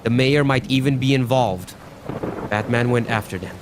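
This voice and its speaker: A man's voice: medium pitch, moderate pace, natural voice. A man playing an earnest, somewhat hesitant crimefighter giving a report in a motion comic. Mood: urgent explaining. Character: earnest male crimefighter reporting to a police chief. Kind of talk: animated motion comic acting. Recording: clear rain and thunder noise behind.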